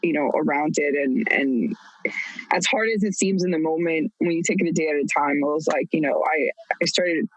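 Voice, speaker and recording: A woman's voice: low voice, fairly quick, no echo, low-quality recording. A woman in her thirties telling her own story as a podcast guest, candid and blunt, laughing often. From United States. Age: 30s. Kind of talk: casual storytelling.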